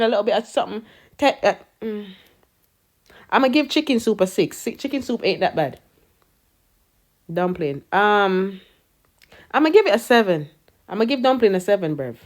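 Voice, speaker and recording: A woman's voice: a little high, brisk, long pauses. A woman, ranting casually and swearing freely in short social media clips. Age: 30s. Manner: brash, sweary, animated. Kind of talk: casual storytime rants.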